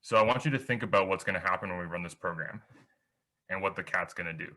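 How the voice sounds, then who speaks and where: A man's voice: sits low, fast talker, pushed and bright. A young man giving a beginner programming workshop over slides, explaining step by step with small restarts. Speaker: workshop instructor.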